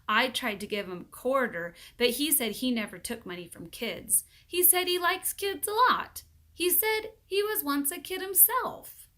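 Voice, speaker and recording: A woman puts on a little storybook schoolgirl, proud and earnest. The voice is bright and lifted, telling things matter-of-factly. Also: high voice, unhurried, pitched above the performer's own voice. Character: little girl proudly presenting at show and tell.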